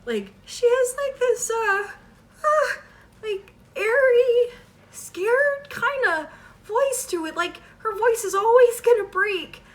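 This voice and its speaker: A woman voicing a nervous, scared female cartoon gem, with an airy voice that breaks and a halting lilt. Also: extremely high pitch, animated, pitched above the performer's own voice, exaggerated voice.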